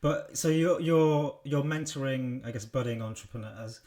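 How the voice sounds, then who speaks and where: A man's voice: mid-range voice, normal speed, warm tone. A man hosting a casual interview, asking long, rambling questions in a friendly way. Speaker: host.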